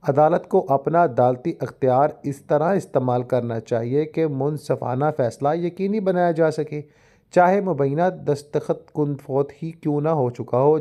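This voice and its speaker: A man's voice: medium pitch, warm tone. A man with a Pakistani accent explaining material like a lecturer, in formal phrasing sprinkled with English terms. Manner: formal Urdu with frequent English legal terms. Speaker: law education YouTuber. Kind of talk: explanatory lecture mixed with reading out a judgment.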